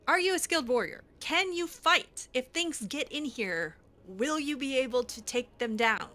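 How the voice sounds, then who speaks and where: Bright, very lively higher-voiced woman. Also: a little slow, faint music behind. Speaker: gameplay roleplayer voicing own character's replies. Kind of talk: casual improvised in-character roleplay commentary.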